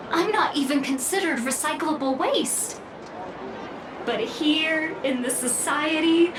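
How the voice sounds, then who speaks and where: A woman voicing a gentle, earnest female robot in a video game, speaking serenely. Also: sits high, reverberant, far from the mic, background noise. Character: gentle female robot, earnest factory-made outcast who found belonging. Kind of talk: scripted video game acting.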